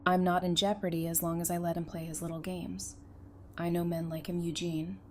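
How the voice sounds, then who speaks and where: A woman acting a defiant, sharp-tongued but protective survivor in an audio drama, blunt and intense in her delivery. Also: lowish voice, level tone, a little fast, lightly acted. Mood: resolute. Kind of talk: full-cast fan audio drama acting. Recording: clear background noise.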